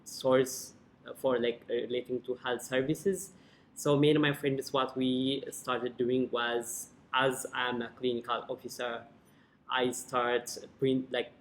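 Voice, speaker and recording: Male panel guest, Ethiopian accent, native Amharic speaker, flat even pitch, halting delivery, rumbly noisy recording. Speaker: panel guest, former clinical officer. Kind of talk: personal account in a panel interview.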